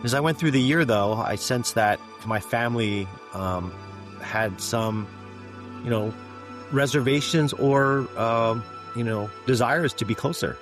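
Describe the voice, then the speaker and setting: A middle-aged Canadian man telling his life story as an interview guest, in a measured, reflective way with frequent "um" and "you know.". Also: mid-range voice, music in the background. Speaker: guest, physician executive.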